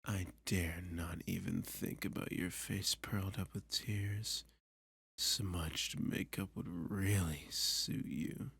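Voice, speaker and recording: A man playing a dominant, flirty villain who taunts a hostage in an intimate ASMR roleplay, often dropping to a breathy, teasing whisper. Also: low-pitched, fairly even intonation, a bit bright, no echo, heavily acted. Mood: commanding, aroused. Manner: slow, teasing, sadistic, possessive.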